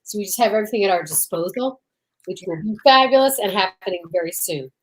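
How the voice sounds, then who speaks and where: A woman's voice: medium pitch, lively intonation, quick pace, low-quality recording. A woman co-hosting an old-time radio podcast, chatting casually and introducing the show in a gushing, theatrical way.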